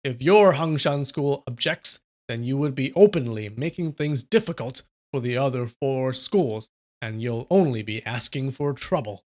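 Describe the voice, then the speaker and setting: A man voicing a haughty male envoy of a martial arts school in a story, speaking in a deliberate, declamatory way. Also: mid-range voice, expressive, darkish tone, close to an everyday voice. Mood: stern, threatening.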